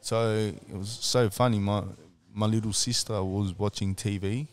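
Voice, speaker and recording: Deep-voiced laid-back man. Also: fairly even intonation, a little slow. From Sweden and Chile. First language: Swedish. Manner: laid-back, slangy, says "man" and "boom" often. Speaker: guest, striking coach. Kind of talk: casual interview chat.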